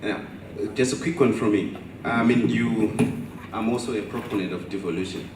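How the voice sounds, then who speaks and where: A man with a lowish voice, a professor, poses a question from the floor with easy good humour. He is heard from far off. The hall echoes heavily over steady noise. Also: normal speed. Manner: light, good-humoured, then pointed. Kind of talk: audience question at a conference. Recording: echoey hall, distant mic, noisy.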